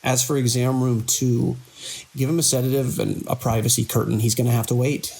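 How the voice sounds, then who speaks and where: A man acting a weary hospital director in a horror audio drama. His voice is heavily creaky and husky, that of a conscientious administrator holding things together under siege. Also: mid-range voice, flat delivery, fairly quick, mellow, low-quality recording. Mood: weary, firm. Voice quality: gravelly, husky. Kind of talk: audio drama acting, log dictation and urgent calls.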